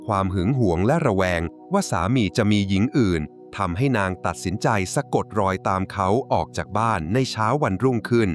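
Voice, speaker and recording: A man narrating a folk tale from a script in a dramatic, suspenseful, expressive way. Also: lowish voice. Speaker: storyteller. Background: music.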